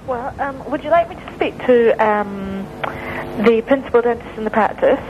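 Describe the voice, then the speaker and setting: A woman's voice: fairly high pitch, moderate pace, slight background noise. A woman from Glasgow with a Scottish accent, working as a dental receptionist on a phone call, hesitant and full of fillers. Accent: Glaswegian Scottish. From Glasgow, Scotland. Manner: hesitant, many "um" and "I mean" fillers. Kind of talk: phone conversation.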